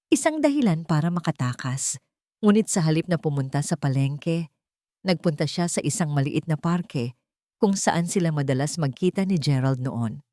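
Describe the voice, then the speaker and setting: A woman narrates a romantic drama from a script. Her voice sits slightly low, and the recording is dry and close, with storytelling phrasing throughout. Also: dark tone, no room sound.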